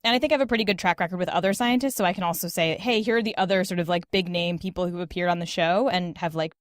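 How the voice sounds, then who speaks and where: Woman podcast guest, quick conversational explainer, very dry close sound. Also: on the low side, no echo. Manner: talkative, explanatory, frequent "like" and "sort of". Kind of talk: casual interview conversation.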